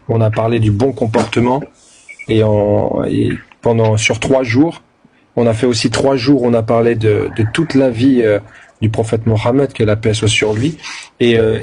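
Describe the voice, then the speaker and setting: A man from Brittany who hosts live streams, speaking calmly with many hesitations. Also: medium pitch, a little hiss or hum. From Brittany, France. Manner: calm, hesitant with many fillers. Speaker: live stream host and convert. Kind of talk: casual discussion.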